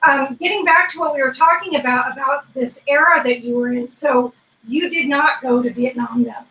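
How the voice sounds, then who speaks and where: A woman's voice: sits high, moderate pace, bright, forceful tone, echoey room, distant mic, low-quality recording. A woman hosting an interview, chatty and joking, from Southern California. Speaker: interviewer host. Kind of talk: casual interview.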